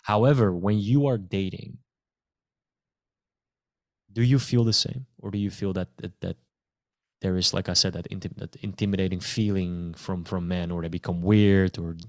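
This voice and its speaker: A man hosts a podcast conversation, asking long, winding questions full of restarts and "you know". His voice is very low. The sound is dry, with no room echo. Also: steady tone, moderate pace. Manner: enthusiastic, restarts often, frequent "you know". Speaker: podcast host. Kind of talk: casual podcast interview.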